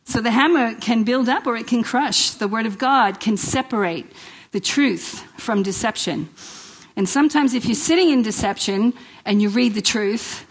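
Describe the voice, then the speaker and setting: A woman's voice: on the high side, creaky, slightly rough, poor audio. A middle-aged woman with a New York accent touched by Italian and Australian, giving a chatty, exhortative church talk with repeated phrases and rhetorical questions. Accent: New York with Italian and Australian traces. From New York, United States. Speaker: guest speaker at a church women's event. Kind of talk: informal inspirational sermon to a live audience.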